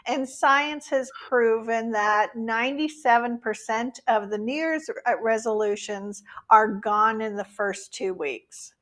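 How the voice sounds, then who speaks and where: A woman's voice: on the high side, fairly even intonation, takes it slowly, hardly any pauses, a bit bright. A woman hosting a talk show, interviewing a guest in a conversational style.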